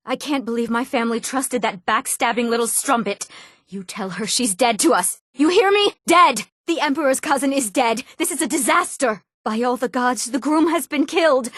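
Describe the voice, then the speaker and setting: A woman's voice: a little high, no room sound, studio quality, natural voice. A woman voicing an emotive, dramatic young woman in a fantasy video game. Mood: distraught, angry. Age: young adult. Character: eager, wronged young woman of a fantasy world. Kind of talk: video game character voice lines.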